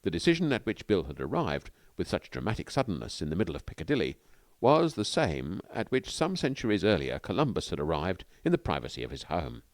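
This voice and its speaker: Animated low-voiced male audiobook narrator. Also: brisk, no room sound, clean studio sound. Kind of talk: scripted narration of a comic novel.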